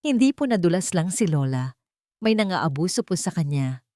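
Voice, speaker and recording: A woman's voice: lowish voice, big pitch swings, normal speed, warm tone, no echo. A woman voicing a desperate, determined teenage grandson who pleads politely and urgently in an audio story.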